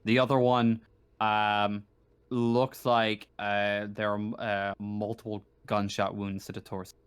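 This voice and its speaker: A man running a tabletop roleplaying game as game master, improvising casually and haltingly, often pausing to think aloud. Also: on the low side, level tone, smooth, no room sound.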